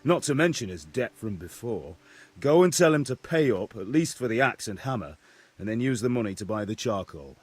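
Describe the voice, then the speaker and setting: A man's voice: mid-range voice, very animated, normal speed, slightly rough, no echo. An actor playing a blunt, teasing middle-aged village blacksmith who orders his son about, in a game cutscene. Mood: gruff teasing. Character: gruff, joking middle-aged blacksmith father. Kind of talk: video game cutscene acting.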